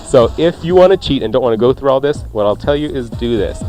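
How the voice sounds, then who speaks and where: A man's voice: medium pitch, animated, fast, dark tone. A man hosting a radio cooking show, talking through recipes in a casual, conversational way with jokey asides. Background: faint music.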